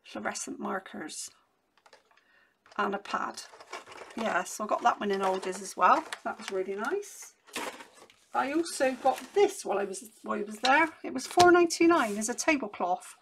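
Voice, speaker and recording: Hoarse, chatty woman. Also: medium pitch, moderate pace, noisy background. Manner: rambling and chatty, many restarts. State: losing her voice, hoarse. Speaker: crafting vlogger. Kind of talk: casual haul chat. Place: home craft desk, indoors.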